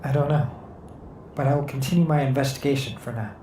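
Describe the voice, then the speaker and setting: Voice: mid-range voice, steady tone, quick pace, mellow, slight background noise, close to an everyday voice. An actor playing a serious, loyal investigating agent in a sci-fi audio drama, formal and precise. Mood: sober. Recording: echoey, faint music behind.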